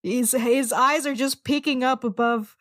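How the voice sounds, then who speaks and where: A woman's voice: sits high, fairly even intonation, unhurried, no room sound, clean studio sound. A woman casually describing her character's actions as a player in a tabletop roleplaying game.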